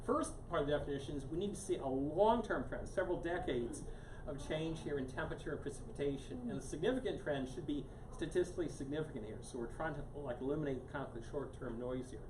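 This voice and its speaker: A man giving a casual live lecture to a small audience, restarting his sentences and tacking "here" onto almost every phrase. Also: fairly high pitch. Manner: casual, repeats "here" constantly, many restarts. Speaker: guest speaker on climate science. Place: meeting room with a live audience. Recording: roomy, distant mic with background noise.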